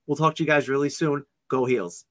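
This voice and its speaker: A man's voice: a little high, no room sound. A man co-hosting a sports podcast, chatting casually in an upbeat, joking way. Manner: upbeat, joking, quick asides. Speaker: podcast co-host.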